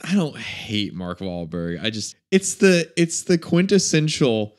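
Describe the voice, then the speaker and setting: A man's voice: medium pitch, very animated, a little slow. A man co-hosting a casual film review podcast, dry and sarcastic. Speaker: podcast co-host.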